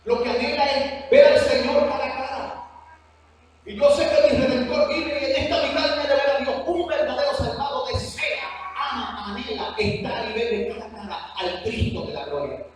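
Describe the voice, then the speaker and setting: A man with a Cuban accent preaching a sermon to a church class, earnest and slow on his emphatic phrases. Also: very high voice, faint music behind. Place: church hall. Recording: echoey hall from far off.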